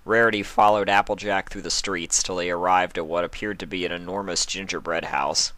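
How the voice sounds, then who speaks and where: A man's voice: low voice, fairly even intonation, a little fast, pushed and bright, no room sound. A man reading scripted story narration as the narrator of a fan fiction.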